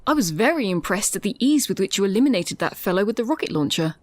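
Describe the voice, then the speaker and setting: A woman's voice: mid-range voice, big pitch swings, fast, dry recording, lightly acted. A woman voicing a polite robot servant in an audio drama, with prim, formal, over-precise phrasing. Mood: polite, chipper.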